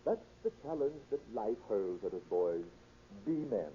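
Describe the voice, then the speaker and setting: An actor playing a pompous, moralizing reform school superintendent in a 1930s radio drama, preachy and long-winded. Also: male voice, lowish voice, very animated, a little slow. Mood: self-satisfied. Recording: dull, noisy 1930s radio recording.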